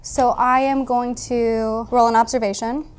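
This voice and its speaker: A woman talking casually as a player at a tabletop game, deliberate and analytical, with music in the background. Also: on the high side, flat delivery, clear, resonant tone. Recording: music bed behind the voice.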